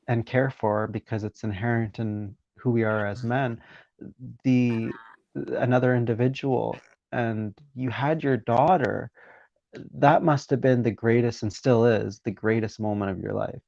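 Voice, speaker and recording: A man's voice: mid-range voice, creaky, darkish tone, no room sound. A man from Romania interviewing a guest, talking in an enthusiastic, encouraging way. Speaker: interviewer, podcast host. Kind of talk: conversational interview. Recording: dull, dry lo-fi sound.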